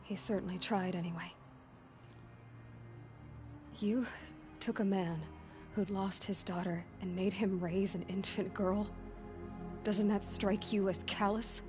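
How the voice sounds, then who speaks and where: A woman's voice: medium pitch, fairly even intonation, slow pace, pauses often, over music, close to an everyday voice. A woman voicing a young female hunter in a video game, asking direct, pointed questions.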